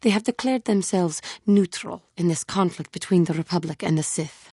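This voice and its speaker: A woman voicing an earnest, troubled young female Jedi warrior in a video game, speaking formally and with measured care in a husky voice. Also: lowish voice, dark tone, dry recording, studio quality. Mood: composed, informative. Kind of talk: scripted game character dialogue.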